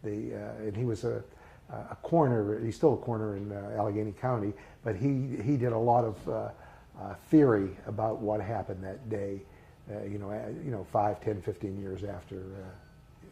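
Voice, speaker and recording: Halting older man, soft-spoken and mellow. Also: on the low side, steady tone, vocal fry. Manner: halting, many fillers and restarts, reflective. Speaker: interviewee. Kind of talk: recalled personal memories in a casual interview.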